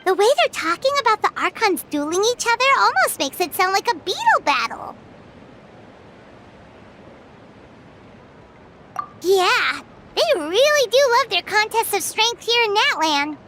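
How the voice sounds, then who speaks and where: A woman voicing a tiny, hungry, excitable fairy companion girl in a game, chirpy and speaking of herself in the third person. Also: normal speed, slight background noise, exaggerated voice. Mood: chatty. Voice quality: very high, squeaky. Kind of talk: game voice acting. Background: game music.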